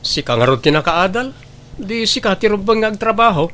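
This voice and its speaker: Blunt, higher-pitched Ilocano family man acted out. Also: expressive, fairly quick, bright, forceful tone. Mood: irritated. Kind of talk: Ilocano radio drama acting.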